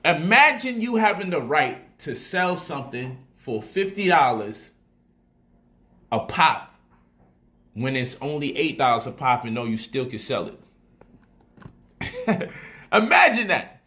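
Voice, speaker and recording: A man talking through stock options in a loose, slangy livestream style, and his voice comes across bright and forceful. He repeats lines to drive a point home and gets lively and playful as he goes on. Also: a little high, takes it slowly, long pauses. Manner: animated, slangy, repeats phrases for emphasis. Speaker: speaker explaining stock options to viewers. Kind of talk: casual livestream talk.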